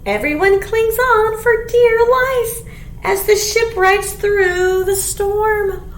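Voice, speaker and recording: A woman's voice: extremely high pitch, takes it slowly. A middle-aged woman leading a children's storytime, reading aloud expressively as if to young listeners. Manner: expressive, clearly addressing young children, with simple questions. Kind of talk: animated children's picture-book read-aloud. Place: small indoor room. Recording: echoey small room, background hiss.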